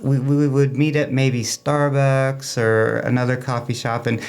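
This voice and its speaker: Guy with a normal pitched voice chatting casually in an interview, rambly, kind of storytelling. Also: flat delivery, measured pace, clear, resonant tone. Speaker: amateur radio club representative, interview guest.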